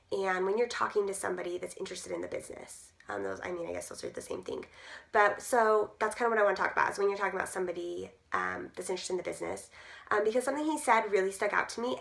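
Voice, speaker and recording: A woman in her thirties, hoarse from losing her voice, chatting casually to camera with many fillers. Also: medium pitch, a bit bright. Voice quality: gruff. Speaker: direct sales team leader.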